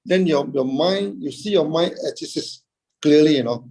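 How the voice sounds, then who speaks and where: Man, Buddhist teacher, somewhat high, gravelly and dark, halting and full of fillers. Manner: halting, frequent "okay" and "uh", rhetorical questions answered himself. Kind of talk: dharma talk. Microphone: video call.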